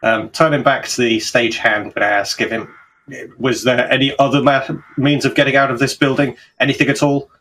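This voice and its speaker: A man plays a tabletop roleplaying game in a bright, clear-cut voice of medium pitch. He casually lays out his character's actions and asks inquisitive questions. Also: fairly even intonation, a little fast, slight background noise.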